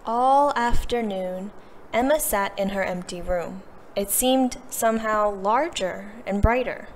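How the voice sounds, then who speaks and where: A woman's voice: mid-range voice, slow, big-room sound. Woman reading a storybook over background noise. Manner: animated delivery, acting out the characters' quoted lines. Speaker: storybook reader. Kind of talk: expressive read-aloud of a children's picture book. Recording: clearly noisy background.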